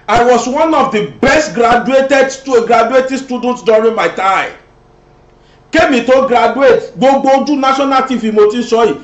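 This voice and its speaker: Nigerian yoruba guy going off on a political rant, real high voice, echoey dull recording. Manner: mocking, switching between English and Yoruba. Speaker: commentator. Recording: roomy, dull lo-fi sound.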